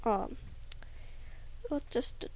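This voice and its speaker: A young woman rambling hesitantly over a drawing tutorial, often trailing off into soft near-whispers. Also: very slow, low-quality recording. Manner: rambling, hesitant, self-conscious, many ums. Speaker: hobby animator.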